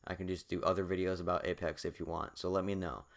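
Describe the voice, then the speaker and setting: Young man, very low pitch, voice worn out and hoarse, loose rambling delivery. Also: level tone, quick pace. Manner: rambling, repeats himself, many fillers. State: losing his voice. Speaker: gaming YouTuber. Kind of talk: casual video commentary.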